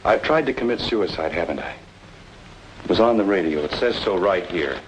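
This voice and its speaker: A man's voice: deep voice, animated, moderate pace, slight background noise, rough recording. An actor playing a cool, secretive noir man in an old-time radio drama, with wry, clipped, guarded delivery. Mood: tense, resolute.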